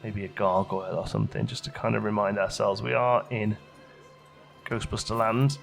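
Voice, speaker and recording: A man's voice: lowish voice, level tone, normal speed, gravelly, faint music behind. A man giving casual gameplay commentary, chatting and musing aloud as he questions his own choices, as a let's play host.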